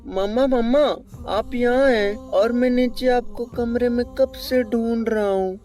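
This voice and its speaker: An actor playing a small, affectionate schoolboy in an animated story drama, with music behind the voice. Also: medium pitch, a little slow, lightly acted. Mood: eager. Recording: background music over the voice.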